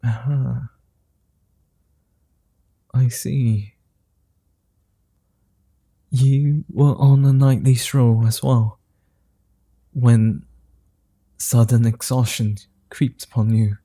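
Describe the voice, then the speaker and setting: Male vampire, gentlemanly and amused, man voicing: extremely slow delivery, very dark and mellow tone, formal old-world phrasing, ASMR roleplay. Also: mid-range voice, steady tone, dry recording. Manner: formal, old-fashioned phrasing with soft chuckles.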